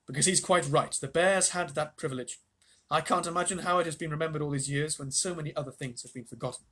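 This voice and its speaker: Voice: mid-range voice, a bit bright, lightly acted. Reader playing a grave, decisive young king. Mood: fair, firm. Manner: measured, decisive, formal. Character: young high king, grave, fair, steady male.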